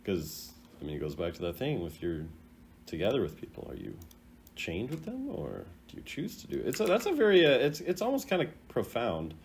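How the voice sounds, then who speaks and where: A man's voice: mid-range voice, wildly swooping pitch, brisk, slight background noise. A man doing casual reaction commentary as a gaming creator, thinking aloud in an informal, rambling way. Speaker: video game content creator ranking voice lines.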